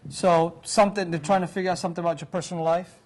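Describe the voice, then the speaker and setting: Male seminar speaker in his 40s with a fairly high voice, lively and audience-quizzing, recorded from across a conference room. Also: fast talker, slight background noise. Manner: animated, walks about and gestures, quizzes the audience. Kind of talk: interactive career seminar talk. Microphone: far camera, room mic.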